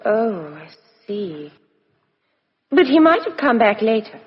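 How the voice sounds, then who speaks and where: An actress playing a cool, outspoken, sharp-minded young Englishwoman in a 1950s radio drama. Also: on the high side, big pitch swings, slow pace. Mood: speculating. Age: young adult. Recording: muffled vintage radio broadcast, reverberant studio.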